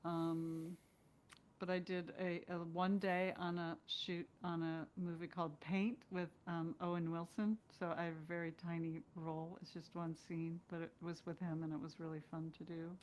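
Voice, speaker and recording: Woman, lower-pitched voice, interview guest, casual conversational delivery, candid, self-questioning. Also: flat delivery, normal speed, a little mellow. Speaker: guest actress.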